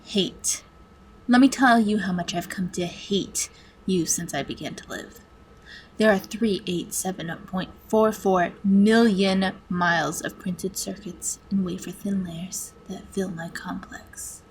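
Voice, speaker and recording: A woman's voice: lowish voice, a little hiss or hum, low-quality recording. A woman playing a hateful, sadistic supercomputer in a video game monologue, taunting human captives with theatrical menace and drops into whispers. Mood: seething hatred. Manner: theatrical, menacing, drawn-out delivery dropping into whispers.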